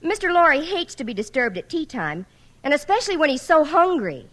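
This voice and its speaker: An actress playing a sweet, gushing secretary in a 1940s radio comedy. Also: female voice, high voice, sing-song, fairly quick, a bit bright.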